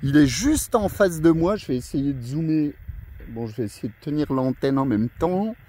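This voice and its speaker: Friendly rambling Frenchman, higher-pitched and mellow. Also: animated, moderate pace. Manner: friendly, rambling, hesitant with repeated phrases. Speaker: amateur radio operator filming his contact. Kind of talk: casual radio chat and outdoor vlog narration. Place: outdoors on a windy mountain valley spot. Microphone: handheld phone or camera microphone.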